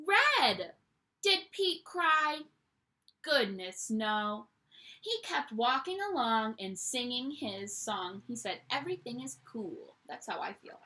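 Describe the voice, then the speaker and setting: A young woman teacher reading a picture book aloud to children at home, in an animated storytime voice that invites them to join in. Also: on the high side, slow pace. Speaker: primary school teacher.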